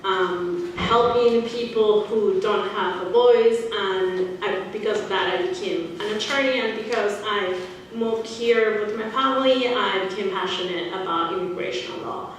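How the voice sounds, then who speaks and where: A woman's voice: mid-range voice, measured pace. A woman from Peru, a native Spanish speaker with a Peruvian Spanish accent, speaking as a panelist in a public presentation. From Lima, Peru. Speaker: nonprofit immigration attorney, panelist. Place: indoor panel event before an audience. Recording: very echoey room, distant mic, faint noise.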